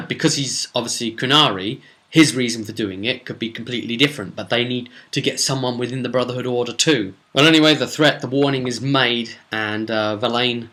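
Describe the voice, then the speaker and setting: A man's voice: medium pitch, fairly quick, bright, forceful tone. A British man giving a casual, rambling recap as a game master, often digressing to explain and quote his characters. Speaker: game master recapping a role-play session.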